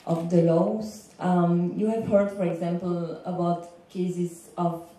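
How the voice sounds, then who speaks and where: A young woman with a Belgian accent giving an earnest, halting semi-prepared speech on a panel as a legal consultant. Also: lowish voice, flat delivery, dark tone. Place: indoor lecture room panel. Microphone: handheld microphone, recorded by far camera. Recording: echoey room, distant, faint background noise.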